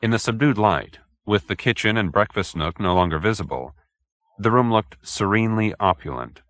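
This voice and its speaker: Low-voiced man narrating with lively range. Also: normal speed, no room sound. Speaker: audiobook narrator. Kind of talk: scripted fiction narration.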